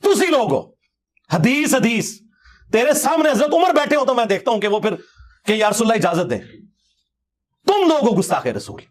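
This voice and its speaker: A man's voice: extremely high pitch, sing-song, pauses often. A man with a Pakistani Punjabi accent giving an informal religious lecture in an emphatic, argumentative, rhetorical way.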